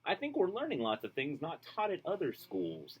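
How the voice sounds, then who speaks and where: Guy doing a kids book read-aloud, really animated, bit husky, bouncy rhyme rhythm, casual asides. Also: fairly high pitch, normal speed. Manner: expressive, rhythmic rhyme reading. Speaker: storybook reader.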